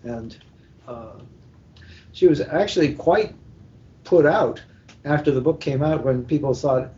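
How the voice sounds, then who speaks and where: A man's voice: fairly high pitch, measured pace, mellow. Older man telling anecdotes, muffled call sound. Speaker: book editor and panel guest. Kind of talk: casual anecdotal panel talk. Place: live convention recording over internet call. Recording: dull, muffled internet call.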